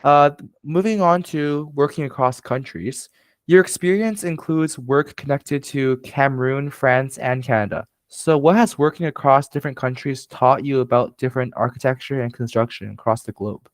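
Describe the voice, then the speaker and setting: A young man hosting a podcast and asking interview questions in a relaxed way, with frequent "like" and "right?". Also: mid-range voice, moderate pace, no echo. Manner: relaxed, uses fillers like "like" and "right?". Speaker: podcast host.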